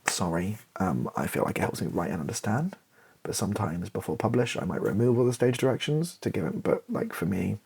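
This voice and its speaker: A British man doing comedy ASMR, muttering haltingly aloud as he types out a script. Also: fairly low pitch, expressive, vocal fry, darkish tone, slightly rough. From Britain. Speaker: host of a comedy ASMR channel. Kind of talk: ASMR, improvised comedy while typing a script. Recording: faint noise with keyboard clicks and typing. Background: computer keyboard typing.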